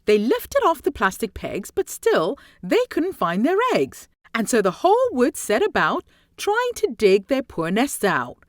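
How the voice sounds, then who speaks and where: A woman with a high voice reads a rhyming children's story aloud. Her pitch swings widely as she leans into dramatic emphasis, phrasing each line deliberately. The sound is close and dry. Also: wildly swooping pitch, dry recording. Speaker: storybook reader.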